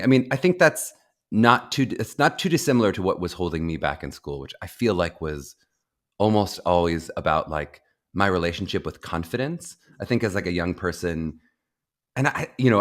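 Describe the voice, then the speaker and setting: A young man with a low voice, hosting a podcast interview, asking thoughtful, rambling questions with many restarts. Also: expressive, brisk. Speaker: podcast host. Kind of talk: conversational interview.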